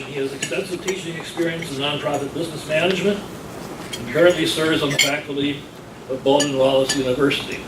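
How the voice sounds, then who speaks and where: Club introducer: man, measured formal reading, roomy sound with clear background noise. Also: fairly high pitch. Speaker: club member introducing the speaker. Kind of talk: prepared speech read aloud. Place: club meeting room. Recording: echoey room, distant mic, background clatter.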